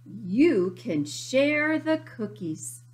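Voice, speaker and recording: Kindly, generous picture-book mum, read by a woman, singsong and unhurried, with big welcoming rises in pitch. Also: very animated, a little mellow, exaggerated voice. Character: kindly, generous mother, proud of Grandma's baking.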